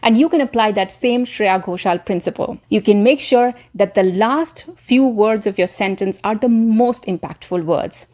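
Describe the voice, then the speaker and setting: A woman presenting an instructional talk as a voice coach, speaking directly and encouragingly to viewers with deliberate stress on key words. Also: medium pitch, dark tone.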